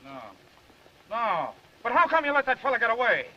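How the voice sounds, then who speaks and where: A man's voice: extremely high pitch, big pitch swings, moderate pace. An actor playing a brash, flirtatious, stubbornly protective newspaperman with an American accent, bantering in slangy, persistent 1930s film style. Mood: indignant. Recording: muffled, hissy 1930s film soundtrack.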